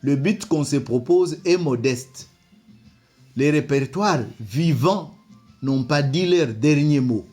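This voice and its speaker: A man's voice: fairly high pitch, slow pace, darkish tone, slightly rough, faint music behind. A man, not a native French speaker, giving commentary and reading from an old document, hesitant with many repeated words. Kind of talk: slow spoken commentary reading from a book.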